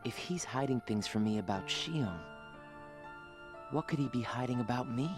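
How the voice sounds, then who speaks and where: A man playing a confused, troubled and quietly resentful teenage boy in a video game cutscene. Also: mid-range voice, lively intonation, moderate pace, over music, lightly acted. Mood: brooding, confused.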